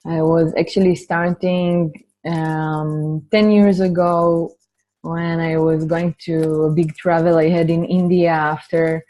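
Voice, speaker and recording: A woman with an Israeli accent speaking gently and informally as she leads a spiritual healing webinar. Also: low-pitched, little pitch movement, slow pace, low-quality recording. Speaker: spiritual healer hosting a webinar. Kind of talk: guided meditation and informal webinar talk. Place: online webinar from a computer.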